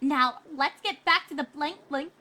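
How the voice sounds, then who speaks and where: A woman's voice: high voice, measured pace, sharp tone, voice pushed up. A woman voicing a bossy, panicky young female pony who snaps and accuses, in a story reading. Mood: frightened. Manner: accusing, snappish.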